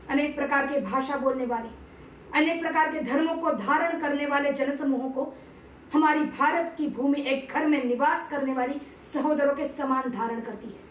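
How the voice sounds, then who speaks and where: High-pitched woman declaiming a solemn monologue. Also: moderate pace. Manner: solemn, declamatory, formal Sanskritized diction. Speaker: amateur performer reciting a TV serial monologue. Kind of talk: theatrical dramatic monologue, oratory. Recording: roomy, distant mic, faint background noise.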